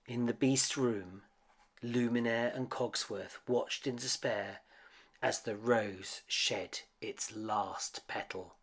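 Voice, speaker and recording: A man's voice: mid-range voice, fairly even intonation, slow, slightly rough. A man reading a children's picture book aloud as a dramatic storyteller. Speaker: storybook reader.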